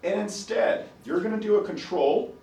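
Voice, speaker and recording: Raspy-voiced professor in a roomy classroom. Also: male voice, high-pitched, normal speed, darkish tone, recorded from a distance. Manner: talks to himself while fumbling with the computer. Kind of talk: classroom lecture.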